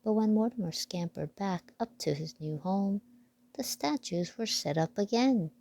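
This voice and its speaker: A woman reading a children's picture book aloud with deliberate storytelling phrasing. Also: mid-range voice, lively intonation, warm tone.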